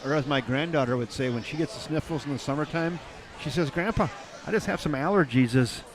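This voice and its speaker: An older man doing play-by-play for a baseball game, chatty and folksy, with light jokes between pitches. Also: a little fast. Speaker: play-by-play announcer. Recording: crowd noise in the background.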